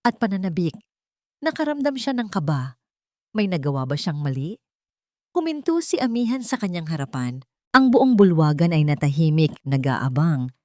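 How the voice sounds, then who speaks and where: Low-voiced woman narrating dramatically, close and dry. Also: darkish tone, dry recording. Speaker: storyteller. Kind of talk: dramatic scripted narration of a romance story.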